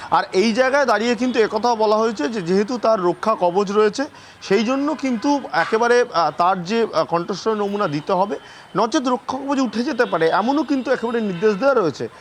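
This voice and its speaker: A man's voice: high-pitched, expressive, a little fast, slight background noise. A man with a West Bengal accent giving an unscripted live field report in an emphatic, repetitive manner.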